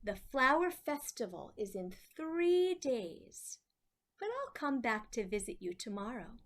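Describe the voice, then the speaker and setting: A woman voicing a kindly young girl who loves flowers in a children's storybook, gentle and encouraging. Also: a little high, big pitch swings, takes it slowly. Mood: friendly, eager.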